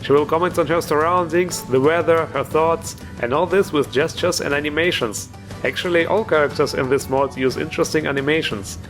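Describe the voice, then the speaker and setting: A man's voice: fairly high pitch, brisk, a bit bright, soft background music. A man hosting a video game mod show, giving informal, enthusiastic commentary with a joking streak. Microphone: voice-over recorded over gameplay music.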